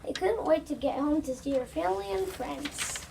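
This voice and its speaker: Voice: sits high, normal speed, vocal fry, a little mellow. A child narrating a scripted story aloud as part of a reader's theater. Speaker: student narrator. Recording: distant mic, noisy room ambience.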